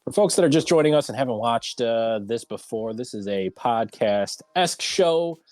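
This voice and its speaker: A man's voice: mid-range voice, animated, moderate pace, darkish tone, no room sound, soft background music. A man hosting a casual livestreamed round table talk show.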